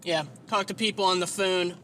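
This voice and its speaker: A man's voice: sits high, little pitch movement, normal speed, sharp tone, slight background noise. A man hosting a casual solo podcast, rambling and self-deprecating, with a whiny, nasal voice and free swearing. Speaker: podcast host.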